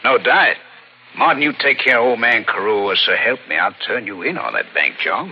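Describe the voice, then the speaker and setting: An American actor playing a scheming, menacing crook in an old radio thriller, with a sly, mock-friendly, insinuating way of talking. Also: male voice, medium pitch, lively intonation, moderate pace, slight background noise, close to an everyday voice. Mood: cold, threatening. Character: scheming, menacing crook after his uncle's money. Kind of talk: 1940s radio thriller acting. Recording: dull old radio drama recording.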